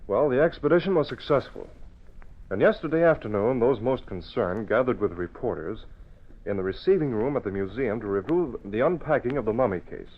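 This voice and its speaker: An actor playing a brisk, commanding newspaper publisher and crime fighter, crisp and authoritative, in old-time radio style. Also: male voice, medium pitch, expressive. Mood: composed explaining. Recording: muffled, noisy old radio recording.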